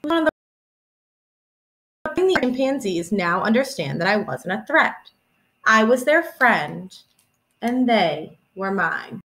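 A young woman in her twenties, a teacher reading a children's picture book aloud in an expressive storytelling manner, recorded indoors at home on a webcam. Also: medium pitch, slow, long pauses, poor audio. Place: small room at home, indoors.

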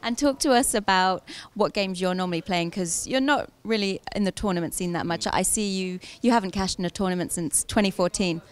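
A woman's voice: mid-range voice, fairly quick, hardly any pauses. A woman, around her thirties, interviewing on camera at an event with a handheld microphone. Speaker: interviewer and presenter. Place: busy indoor poker tournament hall.

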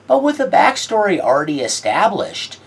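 Woman host, low and husky, wildly animated intonation, dry sardonic reviewer. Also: moderate pace, very noisy. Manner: wry, sardonic, theatrical delivery. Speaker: horror film reviewer. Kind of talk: scripted video-essay commentary.

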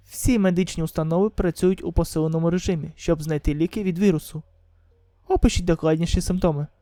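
A woman voicing a grave, measured news expert giving a formal report in a manga drama. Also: lowish voice, warm tone, no room sound, voice pushed down, natural voice.

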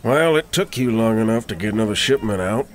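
A man playing a rude, grumpy older town trader in an audio roleplay, gruff and folksy. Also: lowish voice, animated, a little fast, close to an everyday voice. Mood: grumpy, dismissive.

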